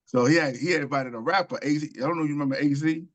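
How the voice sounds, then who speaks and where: A man's voice: on the high side, rapid-fire. A man from Queens, New York, telling stories as an interview guest, rambling with many ums and restarts. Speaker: author and screenwriter guest. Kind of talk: casual interview storytelling.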